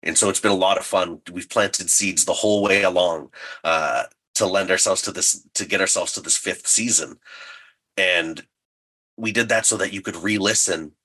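A man with a low-pitched, forceful voice that has some husk to it. He talks as an interview guest, a creator explaining his craft with clear enthusiasm. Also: moderate pace. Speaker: audio drama creator and writer, interview guest.

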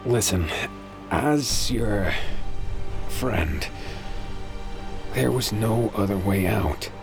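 Weary, wry pirate storyteller, low and creaky, for a scored sci-fi audio drama. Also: male voice, animated, extremely slow, heavily acted. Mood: earnest. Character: weary, sardonic male space pirate narrating his tale. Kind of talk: first-person audio drama narration with acted dialogue. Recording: dramatic music under the voice. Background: music.

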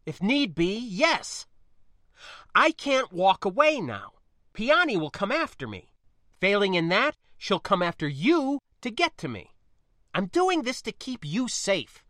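A male narrator plays a determined space pilot in an audiobook. The voice is bright and raised, with lively swings in pitch. The delivery is colloquial and insistent, wry and defensive. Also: moderate pace, no room sound, clean studio sound.

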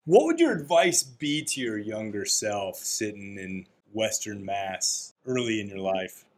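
Man, lowish voice, interview host, relaxed, friendly, teasing. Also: a little slow. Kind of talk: conversational interview.

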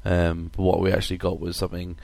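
A man with a cold and a husky voice, hosting a casual podcast chat with many hesitant fillers. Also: low-pitched, monotone, fast, darkish tone, no echo. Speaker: podcast host.

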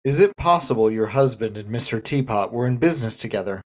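A man's voice: medium pitch, expressive, fast talker, close to an everyday voice. A man playing a patient police detective in a comic murder mystery reading, questioning suspects politely and insistently. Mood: polite, inquisitive.

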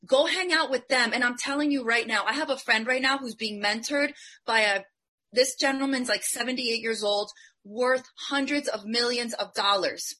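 Woman from Colombia, business panelist; punchy, motivational delivery, sharp bright tone. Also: a little high, moderate pace. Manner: emphatic, motivational, animated. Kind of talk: live online panel discussion.

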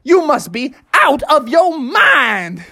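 A storyteller voicing a stern police officer who scolds with drawn-out, accusing emphasis in a kids' story. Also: high-pitched, big pitch swings, gravelly, pushed and bright, pitched above the performer's own voice, exaggerated voice. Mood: indignant.

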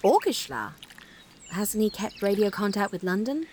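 A woman acting a practical countrywoman in a wartime audio drama. Her delivery is animated, brisk and dry, shrewd but generous. There is faint rural outdoor sound and birdsong around her. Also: medium pitch, a little hiss or hum, lightly acted. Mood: concerned.

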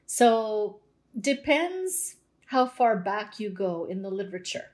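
A woman's voice: mid-range voice, very animated, slow. A Canadian woman, a naturopathic doctor, explaining nutrition in a conversational interview with everyday examples.

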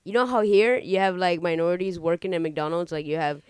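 Clear-voiced casual man, blunt podcast banter. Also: high-pitched, a little fast, no echo, studio quality. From Argentina. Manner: informal, blunt, frequent swearing.

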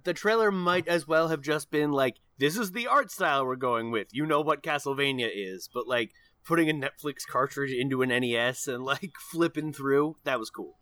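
Bright, close-sounding man, dryly joking. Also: mid-range voice, normal speed, hardly any pauses, no echo. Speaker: podcast co-host. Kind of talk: casual chat.

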